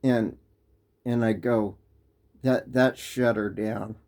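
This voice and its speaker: An American man telling a personal story in a casual, halting way, full of restarts and fillers. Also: on the low side, steady tone, darkish tone. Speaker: vlogger. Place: living in Japan.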